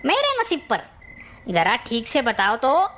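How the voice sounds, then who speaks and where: A woman's voice: on the high side, wildly swooping pitch, takes it slowly, a bit bright, full character voice. A woman voicing a boastful but cowardly, gullible male ghost in a cartoon, stammering and drawling when rattled. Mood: puzzled. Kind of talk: exaggerated Hindi cartoon acting.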